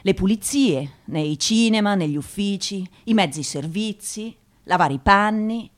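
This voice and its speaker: An actress playing a thoughtful journalist who narrates a radio drama in a measured storytelling manner. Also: female voice, medium pitch, expressive, lightly acted. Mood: sober, descriptive.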